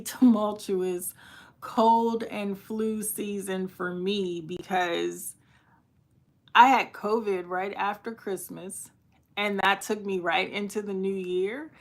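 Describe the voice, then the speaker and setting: A woman hosts a casual livestream, her voice raspy as she gets over a flu. She talks slowly and unhurriedly, with laughs and asides. She greets her viewers by name as she chats. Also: mid-range voice. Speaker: livestream host.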